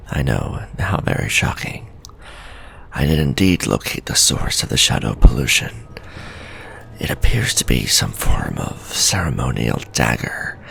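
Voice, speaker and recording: A very deep, gravelly whisper of an obsessive scholar-mage, played by a man, reading his letters home in formal, measured words. The pitch still rises and falls with feeling under the hush, and a faint hiss sits behind it. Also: heavily acted. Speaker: ASMR storyteller. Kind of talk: whispered ASMR roleplay reading.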